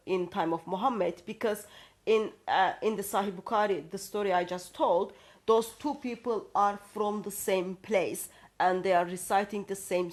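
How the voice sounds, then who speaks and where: Woman, hesitant speech. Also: medium pitch. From Turkey. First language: Turkish. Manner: hesitant, with many fillers and restarts, reading out references. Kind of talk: conversational discussion.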